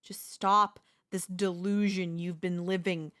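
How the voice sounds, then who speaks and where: A woman's voice: on the low side, level tone, slow pace, a bit bright. A young woman playing an obsessive, lovesick yandere neighbor in an intimate, intense audio roleplay. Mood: wounded, pleading. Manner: intimate, pleading, emotionally intense delivery. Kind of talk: ASMR audio roleplay acting.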